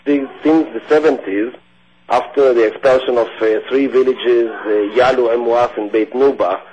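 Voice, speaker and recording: A man, answering radio interview questions in a thoughtful, halting way. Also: medium pitch, a little mellow, husky. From Israel. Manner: thoughtful, halting, searching for words. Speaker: guest. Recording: dull lo-fi radio sound.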